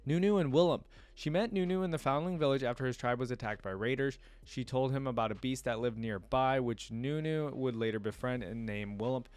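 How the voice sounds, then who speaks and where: A man with a mid-pitched voice gives casual reaction commentary. He moves between reading aloud and thinking out loud in informal, musing asides, like an online video creator talking to viewers. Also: a little fast, few pauses, clean tone, no echo.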